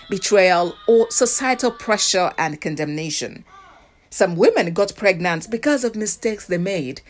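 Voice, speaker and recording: A woman with a Nigerian accent reading a scripted news report as the reporter. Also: on the low side.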